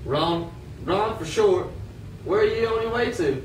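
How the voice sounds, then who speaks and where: A man's voice: sits high, lively intonation, unhurried. A man playing a worried working-class father in the city, in an amateur puppet show. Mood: friendly, uneasy. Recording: echoey room, distant mic, faint noise.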